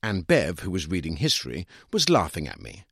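Male actor as middle-aged English lawyer narrating a story: smug, argumentative, condescending. Very animated pitch, slightly husky, dry close sound. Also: fairly quick, no room sound, full character voice. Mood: self-satisfied. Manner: opinionated, condescending, emphatic. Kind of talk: dramatised first-person short story reading.

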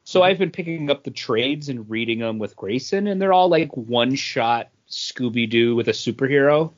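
The recording is a little dull and band-limited. A man with a middle-range voice chats casually as a podcast co-host reviewing comics. He speaks haltingly, working out his thoughts as he goes. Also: mid-range voice, expressive. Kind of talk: casual chat.

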